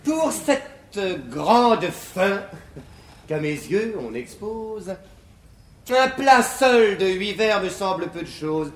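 An actor playing a vain, unctuous salon poet in a classical French stage comedy, declaiming pompously and with affectation. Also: male voice, high-pitched, sing-song, drawn-out words, full character voice. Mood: simpering, self-satisfied. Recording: echoey theatre stage, noisy 1950s recording.

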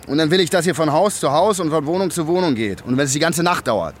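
An actor playing a weary, gruff police detective in a radio drama, terse and coarse-mouthed, used to giving orders. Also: male voice, fairly high pitch, animated, fast, a little hiss or hum, natural voice. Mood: commanding. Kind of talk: naturalistic German radio drama acting.

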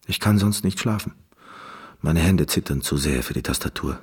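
An actor playing a neurotic, fragile, obsessive concert pianist in a radio play, with a halting, fussy manner. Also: male voice, rumbling low, lively intonation, husky, exaggerated voice. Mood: weary, brooding. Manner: halting, stammering, fussy, self-absorbed.

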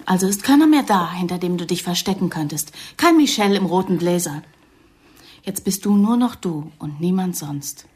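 Radio drama role: young female undercover agent. Slightly husky, defiant, weary, emotionally pointed. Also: lowish voice, animated, moderate pace, darkish tone. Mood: bitter.

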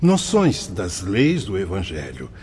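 An actor in a radio drama playing a learned, fatherly spirit mentor who teaches in measured, emphatic oratory. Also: deep voice, huge pitch swings, warm tone, slight background noise, heavily acted. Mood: solemn, instructive.

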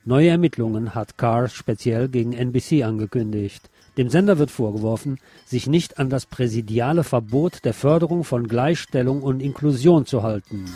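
A man's voice: medium pitch, normal speed, hardly any pauses, mellow, breathy edge. A man presenting on radio, reading a written report aloud.

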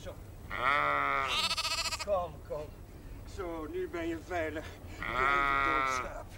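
An actor playing an earnest, tender-hearted young village chaplain in a television drama, hesitant when moved. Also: male voice, on the high side, slow, very noisy. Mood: soothing. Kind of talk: 1970s Dutch television drama acting. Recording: 1970s TV soundtrack, loud music behind.

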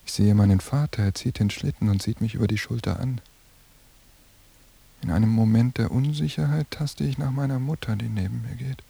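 An actor playing a melancholy, haunted surveillance cameraman in a radio play, voicing introspective thoughts with a gravelly, breathy voice. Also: medium pitch, warm tone. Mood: dreamy, haunted. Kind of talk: German radio play inner monologue.

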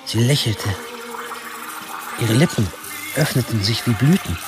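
An actor playing a wry, self-important first-person narrator in a radio drama, dry and ironic. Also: male voice, medium pitch, unhurried, music in the background, natural voice. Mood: observing. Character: wry male narrator looking at his own youth, self-important.

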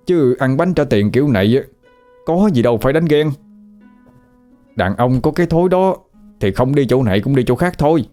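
A man's voice: medium pitch, expressive, normal speed, long pauses, mellow, faint music behind, close to an everyday voice. A man with a Southern Vietnamese accent playing a talkative, fussy male ghost who grumbles and gets flustered. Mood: chatty. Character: nosy, chatty male ghost, young man.

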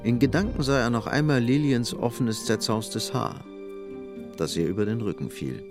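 An actor as the sober literary narrator of a radio drama, telling the story in a gravelly voice. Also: male voice, mid-range voice, moderate pace, over music, lightly acted. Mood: measured, atmospheric.

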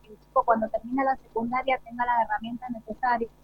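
A woman's voice: fairly high pitch, flat delivery, fast talker, a little hiss or hum. An Argentine woman speaking as a school director in a spontaneous interview, earnest and explanatory. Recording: dull lo-fi live radio recording.

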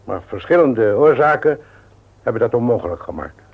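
Stubborn old uncle, tinny radio drama. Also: male voice, mid-range voice, big pitch swings, breathy edge. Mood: stubborn, formal. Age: older. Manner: formal, measured, unyielding. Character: stubborn widowed uncle in his seventies, shrewd business owner. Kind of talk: radio play acting. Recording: tinny, narrow-band old radio recording.

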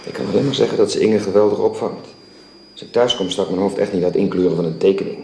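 A man's voice: sits low, fast talker, vocal fry, warm tone. An actor playing a courteous middle-aged father and employer in a Dutch radio drama, speaking formally, hesitantly and persuasively. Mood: defensive. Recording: roomy, hissy old radio recording.

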